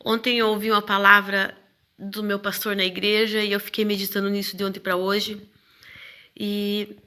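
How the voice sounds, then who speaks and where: A woman's voice: fairly high pitch, sharp tone. A middle-aged woman with a cold sharing an earnest devotional message, stacking repeated phrases as she talks. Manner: earnest, repetitive, preachy. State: hoarse from a cold.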